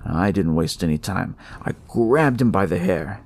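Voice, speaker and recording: A man's voice: low voice, big pitch swings, measured pace, a little mellow. A man telling a first-person detective story in a colloquial, wry manner with hesitant asides.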